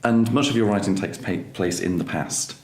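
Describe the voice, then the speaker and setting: A man interviewing a guest, asking measured, polite questions. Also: deep voice, darkish tone, slight background noise. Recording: echoey room.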